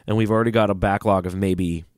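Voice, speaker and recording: A man's voice: on the low side, steady tone, fast talker, darkish tone, no echo, studio quality. A man co-hosting a casual podcast with a stuffy nose, joking around and reading listener emails aloud. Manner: joking, breaks into singing. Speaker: podcast co-host. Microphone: studio microphone, preamp talk.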